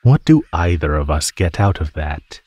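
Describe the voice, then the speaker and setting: A man voicing a teasing, menacing male naga in an ASMR roleplay, speaking in a mocking, condescending drawl with pauses. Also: sits low, big pitch swings, dark tone, breathy edge, dry recording, heavily acted. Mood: musing, skeptical. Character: teasing, menacing male naga predator toying with captive prey.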